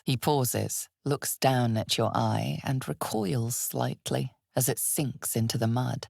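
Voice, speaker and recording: An ominous, knowing game narrator with a very low voice full of gravelly fry. She tells a dark fantasy tale to the listener slowly and deliberately. The recording is close and dry. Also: dry recording, heavily acted. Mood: ominous, measured. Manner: measured, deliberate, portentous delivery. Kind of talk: dramatic scripted second-person fantasy narration.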